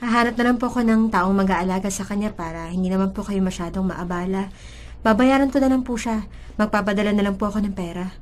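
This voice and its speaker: A woman's voice: medium pitch, quick pace, lightly acted. A woman playing a humble, apologetic young mother with a Filipino accent in a radio drama. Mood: humble, hopeful.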